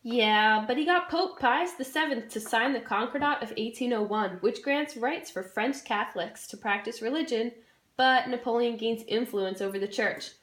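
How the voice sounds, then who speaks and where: A young woman with a moderately high voice gives a casual, jokey student history presentation. Her delivery is scripted but informal, and the room adds a pronounced echo. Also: moderate pace, a bit bright. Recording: roomy, echoey room sound.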